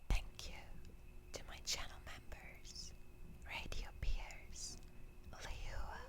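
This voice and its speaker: A woman ASMR creator addressing her viewers in a whispered outro. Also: slow.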